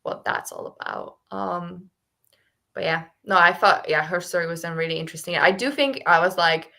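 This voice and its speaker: A woman's voice: fairly low pitch, moderate pace, creaky. A young woman co-hosting a casual book club discussion, chatting animatedly and filling her talk with "like". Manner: chatty, heavy use of "like", animated.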